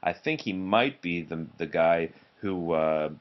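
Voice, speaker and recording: A man's voice: low voice, measured pace, dull audio. A man reading a children's story aloud expressively, with casual asides. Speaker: story reader.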